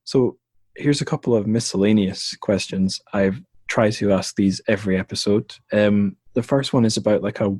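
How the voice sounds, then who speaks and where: A young man hosts a book podcast in a relaxed, hesitant way, often pausing on ums and restarting sentences. His voice sits low. The sound is dry with no room around it. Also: slightly rough, dry recording. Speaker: podcast host. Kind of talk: casual interview chat.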